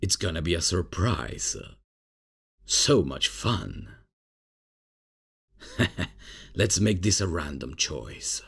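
Very deep, laid-back bumbling professor played by a man. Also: expressive, very slow, long pauses, fairly bright tone, exaggerated voice. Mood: casual, amused. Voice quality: deep, gravelly, husky. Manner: casual, hesitant, chuckling, unprofessional. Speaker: roleplay audio performer. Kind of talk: comedic ASMR roleplay, spoken to the listener.

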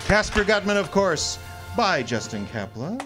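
A man hosts a live theater curtain call. His voice is pitched up as he presents the cast, with crowd and music behind him. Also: very animated, a little slow. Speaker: theater host introducing the cast.

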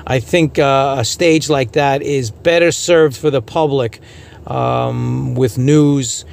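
A man hosting an informal radio news podcast, giving opinionated commentary in a halting, repetitive way. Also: mid-range voice, fairly even intonation, vocal fry, a little mellow. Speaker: radio hobbyist host giving a news report.

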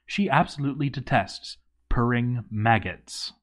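A man giving an expressive scripted reading of a game story, with wry, deadpan asides. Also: mid-range voice, measured pace. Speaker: let's-read narrator.

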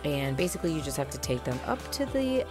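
A woman's voice: low voice, big pitch swings, fast. A woman in her forties giving an upbeat, enthusiastic food review in a casual vlog style. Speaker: vlog host. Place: busy casino buffet restaurant, indoors. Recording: loud music and restaurant crowd noise. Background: loud music, crowd chatter.

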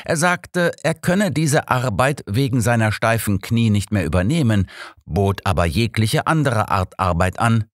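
A man acts out an old, sharp-minded detective in a storybook reading. He is sardonic and pointed, lecturing with animated intonation. The sound is close and dry. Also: on the low side, unhurried, no echo, voice pushed up. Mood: grave, lecturing. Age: older. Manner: pointed, lecturing, dryly persuasive.

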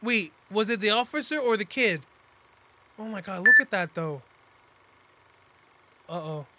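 A man's voice: high voice, animated, a little slow, very noisy. A man in his twenties with an American accent giving casual, slangy, exclaiming reaction commentary. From California, United States. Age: 20s. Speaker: reaction video host. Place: small indoor room at a gaming setup. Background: music.

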